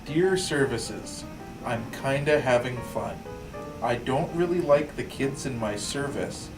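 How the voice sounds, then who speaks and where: A man's voice: medium pitch, takes it slowly. A man reading a short letter aloud in plain, simple sentences. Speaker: staff member reading aloud. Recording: distant mic, guitar music behind. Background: guitar music.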